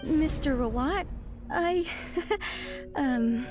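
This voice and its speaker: A woman playing a girlish, expressive young woman in a video game flashback. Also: high voice, very slow, music in the background. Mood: bashful. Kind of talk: animated video game voice acting.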